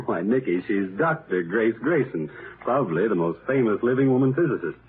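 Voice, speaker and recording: A man performs a gentleman sleuth from a 1930s radio mystery, playful and polished. His delivery is highly animated, in a narrow, muffled sound with clear background hiss. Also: moderate pace, natural voice. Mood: teasing, curious. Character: suave, playful gentleman master detective. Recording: noisy, band-limited 1930s radio recording.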